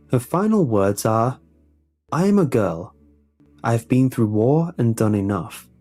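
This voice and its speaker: A man reading scripted, documentary-style narration in a measured, polished way. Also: fairly low pitch, mellow. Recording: music playing behind the voice. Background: background music.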